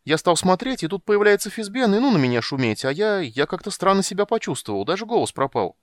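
A man voicing a small, boastful, excitable kender who chatters with excitement. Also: sits high, fast talker, dry recording, voice pushed up. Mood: excited.

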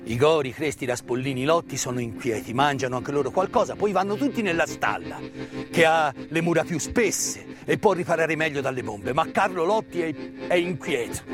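A man giving a dramatic theatrical narration of a wartime story, measured and emphatic, with pauses and hushed phrases. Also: on the high side, expressive, music in the background. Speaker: stage actor and storyteller. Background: live musical accompaniment.

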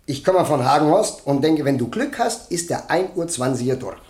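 A man's voice: fairly high pitch, lively intonation, normal speed, darkish tone, lightly acted. An actor playing a plain-spoken, chatty milk-truck driver in his thirties in a German radio crime drama. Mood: eager, matter-of-fact. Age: 30s. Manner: colloquial, chatty, down-to-earth.

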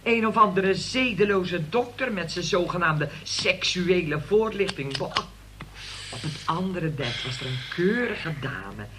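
A chatty, opinionated mother, animated delivery. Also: on the low side, unhurried. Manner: rambling, opinionated, exclamatory. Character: football-mad, chatty, moralizing mother of a grown son. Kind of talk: radio play acting. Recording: noisy old radio play recording.

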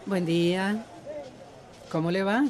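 An actress playing a cheeky, concerned village neighbour in a radio drama, conversational and earnest. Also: female voice, mid-range voice, fairly even intonation, takes it slowly, a little mellow, close to an everyday voice. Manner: conversational, playful yet earnest.

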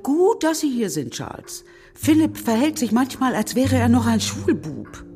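A woman playing a brisk older spinster aunt in a radio crime drama, confiding family matters matter-of-factly. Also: on the high side, big pitch swings, dark tone.

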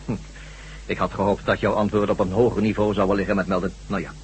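An actor playing a menacing, condescending interrogator in a Dutch radio drama, speaking with sardonic deliberation. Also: male voice, lowish voice, little pitch movement, quick pace, natural voice. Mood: sardonic questioning. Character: menacing, condescending male interrogator, secret-project villain. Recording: noisy radio recording with hum.

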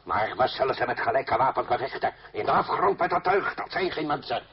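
A man's voice: medium pitch, expressive, a little fast, close to an everyday voice. An actor playing a coarse, blustering male revolutionary in a radio drama, bellowing, swearing and mocking. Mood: angry. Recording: dull noisy old radio recording.

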